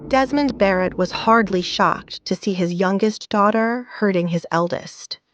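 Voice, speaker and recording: A woman's voice: mid-range voice, a little slow, lo-fi sound, slight background noise. A woman narrating a scripted dramatic story as its narrator.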